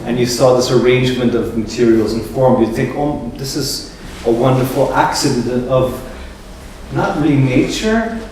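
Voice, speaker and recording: A man hosting a gallery talk as curator, speaking in a measured, reflective way as he searches for words. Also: mid-range voice, darkish tone. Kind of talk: public talk introducing an artist. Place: large echoey gallery room. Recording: echoey gallery room from far off with ambient noise.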